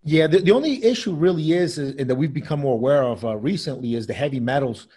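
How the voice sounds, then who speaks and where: A man's voice: a little high, expressive, fast, mellow, slightly rough. A man co-hosting a podcast, chatting conversationally and often repeating words as he talks. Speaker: podcast co-host. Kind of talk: casual podcast chat.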